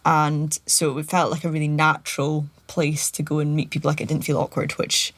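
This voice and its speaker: A young Scottish woman chatting casually and warmly as a podcast guest, laughing easily. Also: low-pitched, flat delivery, a little fast, dry recording. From Scotland. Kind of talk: casual chat.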